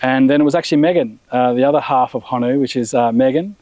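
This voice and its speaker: Founder, mid-level voice, dry close mic, easygoing ramble. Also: male voice, mid-range voice, quick pace, dry recording. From Australia. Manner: relaxed, rambling with many restarts and fillers. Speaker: brand founder and CEO. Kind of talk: casual interview.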